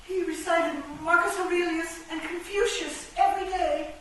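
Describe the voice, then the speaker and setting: A woman's voice: very high voice, fairly even intonation, normal speed, fairly bright tone. An actress playing a grieving, proud and fiery chess queen in a radio play, with a theatrical, emphatic delivery. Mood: mournful. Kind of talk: stage radio-play acting. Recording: echoey hall, distant mic, background noise.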